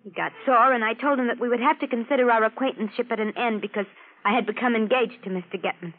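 Casting: actress for a self-admiring, artless femme fatale, highish voice, gushing slang with put-on gentility, vintage radio. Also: female voice, fairly even intonation, quick pace, lightly acted. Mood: vain, artless. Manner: gushing slang mixed with stilted genteel phrases. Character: naive, vain working-class femme fatale putting on refinement. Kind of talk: 1940s radio drama acting. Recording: old lo-fi radio drama recording.

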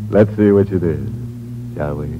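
Slow, ghoulish radio horror host. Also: male voice, low voice, heavy background noise. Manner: droll, macabre, savoring each word. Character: ghoulish, gleefully mocking male mystery show host. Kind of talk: 1940s radio horror hosting, tongue-in-cheek. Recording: muffled old radio recording. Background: music.

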